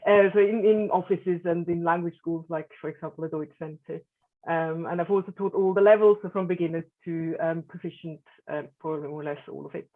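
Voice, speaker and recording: A woman from Switzerland, a German teacher and translator, introducing herself on a panel with many hesitant fillers. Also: on the low side. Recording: tinny phone-like audio.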